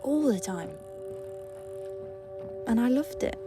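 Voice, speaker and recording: A woman's voice: fairly high pitch, lively intonation, slow pace, over music. A woman sharing personal memories in an earnest, conversational, emphatic way, reflecting as she speaks.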